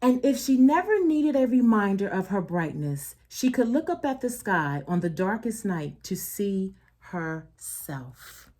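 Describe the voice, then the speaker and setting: A woman reading a children's picture book aloud as a storyteller, expressive and deliberate, with emphatic phrasing and soft asides to the listeners. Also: mid-range voice.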